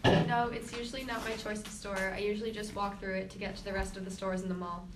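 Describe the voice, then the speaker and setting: Brisk 22-year-old woman, echoey and distant. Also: medium pitch, little pitch movement. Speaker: focus group participant. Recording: echoey room, distant noisy mic.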